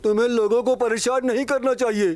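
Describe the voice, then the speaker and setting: A man's voice: extremely high pitch, steady tone, brisk. A man voicing a kindly, comforting character in a cartoon dub, soothing and lilting. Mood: gently chiding. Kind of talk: dubbed cartoon acting. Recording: loud music under the voice.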